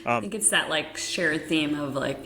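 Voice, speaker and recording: Podcast host: young woman, low pitch, frank and direct, echoey room with faint hiss. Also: expressive, normal speed, creaky. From United States. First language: Dutch. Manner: frank, direct, many "like" fillers. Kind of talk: casual podcast conversation.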